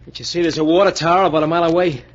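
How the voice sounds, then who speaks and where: An actor playing a tough, confident, impatient small-time crook on the run in an old radio drama, with slangy, bossy delivery. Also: male voice, a little high, fairly even intonation, racing, natural voice. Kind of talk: 1940s radio drama acting. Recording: muffled, noisy old-time radio recording.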